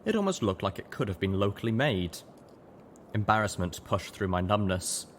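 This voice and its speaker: A man narrating a first-person story in an expressive audiobook style. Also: fairly low pitch, moderate pace, slight background noise. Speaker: audiobook reader.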